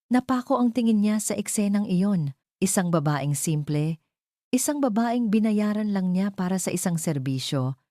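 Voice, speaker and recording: A woman's voice: on the low side, warm tone, no room sound, studio quality. A woman with a Filipino accent narrating a scripted dramatic story as a storyteller.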